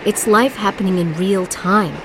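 A woman's voice: medium pitch, a bit bright, slight background noise, lightly acted. An actress playing a tormented, self-doubting woman artist in a theatrical, poetic spoken-word monologue. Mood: reflective. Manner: dramatic, poetic, deliberate.

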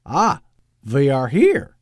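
An odd little fake German doctor. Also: male voice, mid-range voice, wildly swooping pitch, slow pace, no room sound, studio quality, voice pushed up, full character voice. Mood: stiff, defensive. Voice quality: thick put-on accent, imprecise. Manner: stiff, awkward, defensive. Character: eccentric small man faking a thick German doctor accent.

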